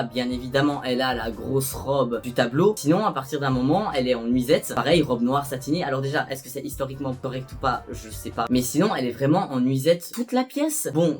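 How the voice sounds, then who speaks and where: A young man giving a casual, chatty review of a musical, animated and self-mocking. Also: mid-range voice. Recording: soft background music.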